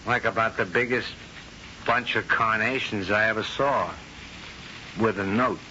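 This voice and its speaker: A man's voice: fairly low pitch, steady tone, unhurried, pushed and bright, slight background noise. An actor playing an easygoing, reassuring man, a manager and suitor, talking casually in a 1970s radio drama. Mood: calm. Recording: muffled old radio broadcast with music.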